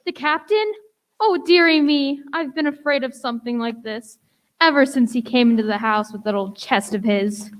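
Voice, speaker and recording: A woman playing a high-pitched, fretful widow. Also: moderate pace, natural voice. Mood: worried. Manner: fussy, exclamatory. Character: fretful, indignant widowed mother, innkeeper, female. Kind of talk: radio play acting.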